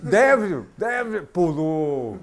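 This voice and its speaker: A male talk-show host, unhurried and fond of jokes. His voice sits a little high for a man, and he speaks in a playful, teasing way in a studio. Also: sing-song, crowd noise. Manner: playful, joking, slow and drawn out. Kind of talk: casual TV interview. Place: university TV studio.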